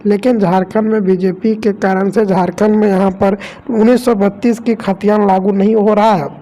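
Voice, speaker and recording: A woman's voice: mid-range voice, steady tone, moderate pace, mellow, rough recording. A woman reading scripted news narration for an online video in a repetitive, formulaic announcing manner.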